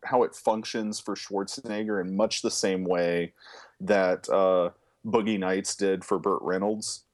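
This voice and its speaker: Guy with a lowish voice rambling about movies on a podcast, lots of um and like. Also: steady tone, measured pace. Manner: loose and profane, lots of fillers. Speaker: podcast co-host, film reviewer. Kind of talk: casual chat.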